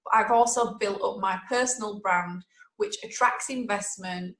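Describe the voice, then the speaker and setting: A woman's voice: mid-range voice, moderate pace, a bit bright, reverberant. A woman with a Yorkshire accent hosting a webinar, talking in a chatty, direct and motivational way. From Yorkshire, England. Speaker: webinar host.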